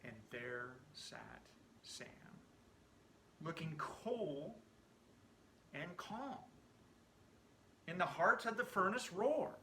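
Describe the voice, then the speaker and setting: Bright-voiced man reciting dramatically in echoey room. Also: fairly high pitch, extremely slow, pauses often, slight background noise. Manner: animated, theatrical storytelling with hushed phrase endings. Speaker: amateur poetry reciter. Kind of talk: dramatic recitation of a narrative poem.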